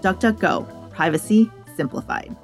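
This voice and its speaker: A woman's voice: lowish voice, unhurried, faint music behind. A woman host reading sponsor and outro copy in an upbeat, friendly scripted way. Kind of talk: scripted ad read.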